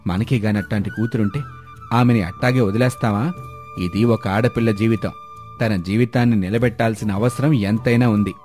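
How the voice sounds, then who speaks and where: A man playing a calm, determined village helper in a moral story, speaking in a measured, reassuring way. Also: fairly low pitch, soft background music, natural voice. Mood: concerned. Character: calm, determined village man who helps troubled friends. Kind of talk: dramatised moral story dialogue.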